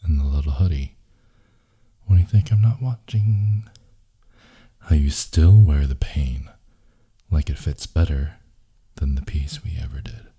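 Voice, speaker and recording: A man playing a brooding, possessive, lovelorn boyfriend in an intimate ASMR roleplay, in a hushed, husky, gravelly voice that often slips into whispers. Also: very deep voice, full character voice. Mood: wistful, intimate. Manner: slow, hushed, intimate murmuring.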